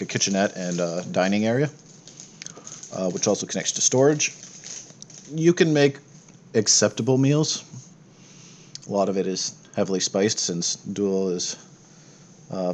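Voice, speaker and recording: A man's voice: on the low side, darkish tone, slight background noise. A man working as game master, narrating a tabletop game in a casual, improvised way.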